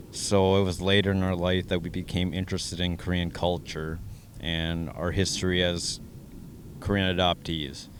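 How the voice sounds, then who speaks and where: An American man giving measured, personal interview answers in an earnest, slightly formal way. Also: low-pitched, a little hiss or hum. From Minnesota, United States. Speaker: interviewee sharing his adoption story.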